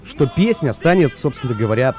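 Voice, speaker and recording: A man's voice: mid-range voice, sing-song, fairly quick, warm tone, faint music behind. A man hosting a radio show, chatting loosely with many fillers into a studio microphone. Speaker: radio host. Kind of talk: morning radio show chat.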